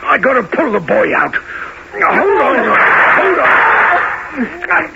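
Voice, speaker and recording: Gravelly, brutal burglar, radio play. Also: male voice, very high voice, big pitch swings, slow, exaggerated voice. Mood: urgent, alarmed. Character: brutal gruff adult male burglar, menacing and commanding. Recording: dull, noisy old radio recording.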